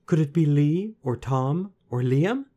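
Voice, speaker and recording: A man's voice: animated, a little slow, highs cut off. A man voicing an anxious young fairy-tale girl, plaintive and pleading in manner. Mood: hesitant guessing. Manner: plaintive, higher and louder than narration.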